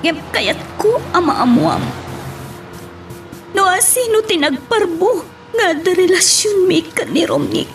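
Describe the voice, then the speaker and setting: A high-pitched, plaintive young woman in love speaks in a romantic radio drama. She sounds heartbroken, with music clearly playing behind her. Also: sing-song, slow pace, heavily acted. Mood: hurt, pleading.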